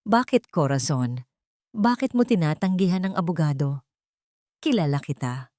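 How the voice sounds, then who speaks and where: A woman voicing a wealthy man pleading gently and calmly. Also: deep voice, moderate pace, no echo, clean studio sound.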